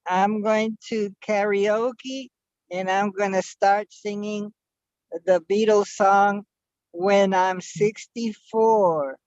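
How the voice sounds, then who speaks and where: Slow-talking man in his sixties. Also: high-pitched, steady tone, no room sound. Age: 60s. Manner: slow, playful, wry. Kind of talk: casual group chat. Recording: dry and muffled.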